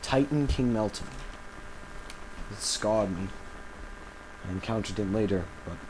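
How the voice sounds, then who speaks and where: A man playing an embattled villain recording a grave confessional audio log, speaking haltingly and solemnly with dramatic pauses. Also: on the low side, noisy background, natural voice. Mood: weary, reflective.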